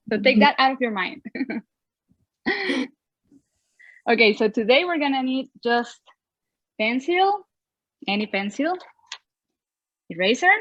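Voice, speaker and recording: A woman's voice: high-pitched, expressive, slow pace, long pauses, a bit bright, dry recording, muffled recording. A young woman with a Venezuelan Spanish accent, a native Spanish speaker, teaching an online drawing class in a cheerful manner and laughing often. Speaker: art teacher.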